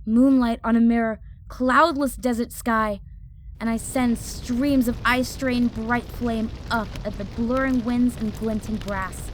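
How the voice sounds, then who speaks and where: A woman's voice: fairly high pitch, fairly even intonation, unhurried, slight background noise, lightly acted, rough recording. A woman playing a hot-headed young female fire mage, narrating an audio drama in the first person with intense, clipped phrases. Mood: urgent, determined.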